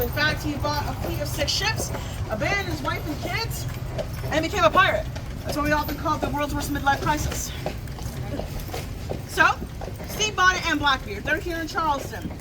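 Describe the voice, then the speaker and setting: A woman from Michigan leading a ghost tour outdoors at night, telling stories to her group with relaxed, dry humor. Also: high-pitched. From Michigan, USA. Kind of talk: guided tour storytelling to a group. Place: outdoors on a city street at night. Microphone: audience phone recording the guide from a few steps away. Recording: raw phone footage with loud street noise.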